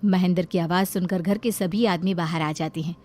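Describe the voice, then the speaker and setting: A woman's voice: lowish voice, fairly even intonation, moderate pace, a little mellow, faint music behind. A woman narrating a scripted dramatic moral story.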